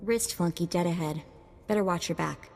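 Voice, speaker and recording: A woman's voice: fairly low pitch, moderate pace, gravelly, long reverb tail. A woman voicing an eager, slightly nervous young sidekick in a video game, chatty and informal. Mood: alert. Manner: excitable, informal.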